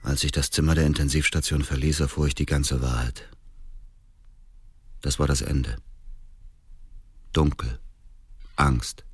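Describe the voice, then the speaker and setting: An actor playing an embittered, grieving middle-aged widower, narrating a radio drama in a terse, restrained way. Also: male voice, extremely low pitch, flat delivery, a little slow, pauses often, slight background noise. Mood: sombre, numb.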